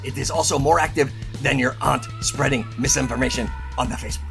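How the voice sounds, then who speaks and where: A man's voice: medium pitch, fairly even intonation, fast, creaky, over music. A man hosting a channel, reading aloud and tossing in casual asides in a playful, theatrical way. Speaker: channel host.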